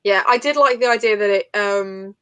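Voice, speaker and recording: A woman's voice: medium pitch, normal speed, fairly bright tone, clear, resonant tone, dry recording. A woman in a casual book discussion, talking informally and analytically as she thinks aloud. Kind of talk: casual live book chat.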